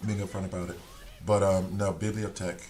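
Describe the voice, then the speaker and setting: A man's voice: low-pitched, little pitch movement, fairly quick, a little mellow. A man streaming a game, chatting casually with viewers in a rambling, talkative way and reading chat aloud. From Switzerland. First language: not German. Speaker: gaming streamer.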